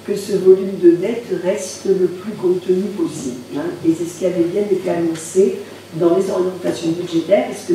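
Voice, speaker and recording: A woman council member with a bad cold, asking questions in a careful, apologetic, halting way. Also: fairly low pitch, dark tone. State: has a bad cold, hard to speak loud. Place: large municipal function hall. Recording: echoey hall, mic far off, faint noise.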